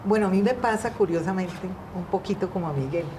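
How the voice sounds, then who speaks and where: A woman's voice: mid-range voice, quick pace, noisy background. A Colombian woman raised in Venezuela, a filmmaker, speaking conversationally on a studio panel with frequent fillers. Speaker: filmmaker guest.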